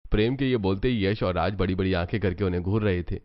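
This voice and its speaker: Low-pitched male story narrator. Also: fast talker, lo-fi sound, soft background music.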